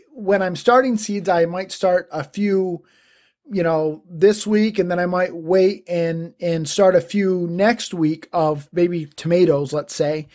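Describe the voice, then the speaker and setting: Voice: medium pitch, darkish tone. A homesteading podcast host giving a casual first-impressions review of gardening gear, thoughtful and plain-spoken, weighing pros and cons as they talk.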